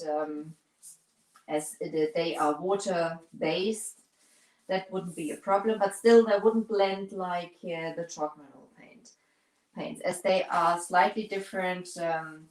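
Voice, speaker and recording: A woman from Germany with a German accent, English not her first language, hosting a casual live painting stream, pausing to search for words and greeting viewers. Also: on the low side, reverberant, low-quality recording. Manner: searches for words, restarts often, chats to viewers. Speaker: painting livestream host.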